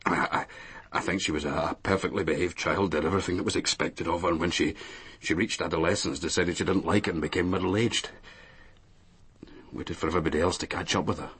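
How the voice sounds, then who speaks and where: Very deep, husky, weary married man. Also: steady tone, fairly bright tone, heavily acted. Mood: hesitant, explaining. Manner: hesitant, halting, repeating himself. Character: weary, lonely married businessman and ex-soldier, defensive. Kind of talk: naturalistic radio drama acting.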